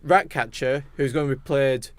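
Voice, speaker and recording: A man with a mid-pitched voice co-hosts a film podcast. He talks through entertainment news in a casual, conversational way, recorded close and dry. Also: normal speed, no echo. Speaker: podcast co-host.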